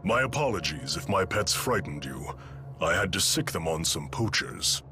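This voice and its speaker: A man's voice: low-pitched, sing-song, normal speed. A man playing an imposing, condescending villain in a game, with a commanding, formal manner. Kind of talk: English game dub acting. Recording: loud game music behind.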